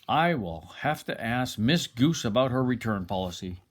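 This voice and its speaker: A man's voice: mid-range voice, expressive, normal speed, close to an everyday voice. A man voicing a stern, grumpy male bear in a children's picture book, curt and fussy. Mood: stern, dry.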